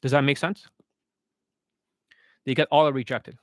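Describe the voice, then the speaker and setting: Male livestream coach, average pitch, blunt instructional question-and-answer delivery, dry recording. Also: steady tone, unhurried, no echo. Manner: blunt, didactic, often checking with rhetorical questions. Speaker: dating coach and livestream host.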